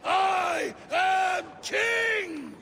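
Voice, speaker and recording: A man's voice: extremely high pitch, very slow, raised voice, over a noisy crowd, very noisy, full character voice. An actor playing a proud, paranoid, raging Viking king in a video game, declaiming with bombast. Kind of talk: dramatic video game acting.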